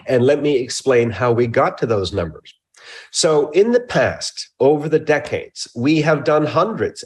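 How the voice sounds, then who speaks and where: Male research scientist, interview guest. Measured, technical explainer with emphatic phrasing. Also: mid-range voice.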